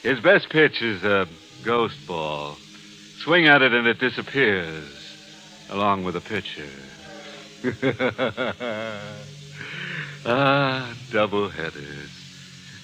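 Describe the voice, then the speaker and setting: A man's voice: on the low side, big pitch swings, sharp tone, exaggerated voice. A male actor playing a ghoulish, mocking horror host in an old radio mystery, theatrical and sardonic. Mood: gleefully macabre. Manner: sardonic, theatrical, drawn-out delivery with morbid glee. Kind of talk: 1940s radio mystery acting. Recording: old radio broadcast recording.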